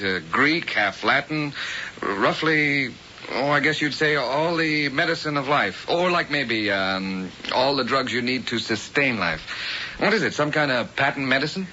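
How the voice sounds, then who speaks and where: Breezy young reporter. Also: male voice, mid-range voice, animated, a little slow, pushed and bright, a little hiss or hum. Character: young male newspaper reporter. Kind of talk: 1970s radio drama acting. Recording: dull old radio broadcast.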